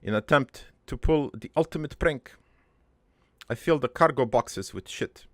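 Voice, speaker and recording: A man playing a gruff, crude army veteran turned prankster, telling his regretful life story in a halting, matter-of-fact ramble for an improvised cartoon monologue. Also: mid-range voice, no room sound, clean studio sound, natural voice.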